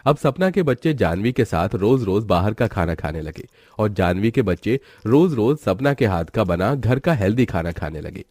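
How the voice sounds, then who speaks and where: A man's voice: lowish voice, very animated, moderate pace, few pauses, a little mellow, no echo. A man narrating a scripted moral story.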